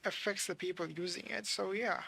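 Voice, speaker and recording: A woman's voice: mid-range voice, fairly even intonation, a little fast, vocal fry, sharp tone, a little hiss or hum. A woman streamer giving casual live gameplay commentary, musing aloud in an offhand way.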